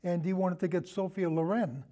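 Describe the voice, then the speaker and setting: High-voiced man, rambling storyteller. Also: steady tone, mellow. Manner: halting and rambling, often repeats phrases. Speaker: podcast guest, film discussion. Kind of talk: casual film discussion, anecdotal storytelling.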